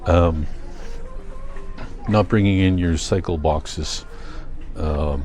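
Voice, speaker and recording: An older Canadian man talks in a deep voice at a very slow pace. He thinks aloud as a casual vlogger does, stopping, restarting and correcting himself. Faint music sits behind him. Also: darkish tone. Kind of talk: casual rambling vlog monologue.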